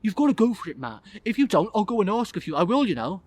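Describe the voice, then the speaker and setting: Man doing a cheeky, blunt eleven-year-old boy, raised pitch. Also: very animated, fast talker, darkish tone, no echo. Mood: insistent. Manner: direct, confident, persistent questioning.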